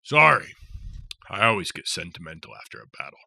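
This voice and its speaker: Male narrator as a jovial giant soldier, deep and bright, dry read. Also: very animated, brisk, no room sound, exaggerated voice. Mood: hearty. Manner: hearty, rough folksy speech. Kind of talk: audiobook character voice.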